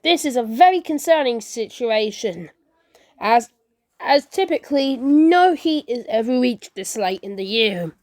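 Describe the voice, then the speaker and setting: High-voiced woman improvising an amateur emergency broadcast. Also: lively intonation, a little slow, a little mellow, no room sound. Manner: rambling, stumbling, self-correcting and repeating phrases. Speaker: creator and performer of a fan emergency-alert scenario.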